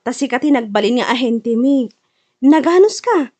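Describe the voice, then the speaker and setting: Chatty high-voiced Ilocano woman client, acted out. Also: expressive, normal speed, darkish tone. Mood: friendly, grateful. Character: friendly, talkative female insurance client, a wife. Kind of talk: Ilocano radio drama acting.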